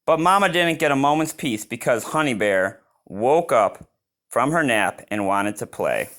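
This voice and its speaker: A man reading a picture book aloud as host, expressive and given to chatty reactions to the story. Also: medium pitch, a little slow. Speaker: read-aloud reader and channel host.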